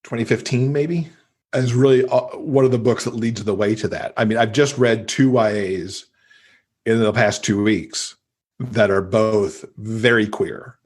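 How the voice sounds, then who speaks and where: A man's voice: on the low side, a little slow, a little mellow. A man, middle-aged, talking casually and enthusiastically as a guest in an interview about books. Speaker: podcast guest, avid reader.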